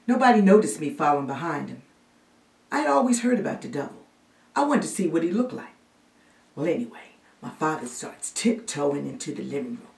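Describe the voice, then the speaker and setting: A woman playing a storyteller who recounts a spooky childhood family tale with hushed, dramatic suspense. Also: fairly low pitch. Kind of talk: theatrical storytelling monologue. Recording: roomy echo, distant microphone.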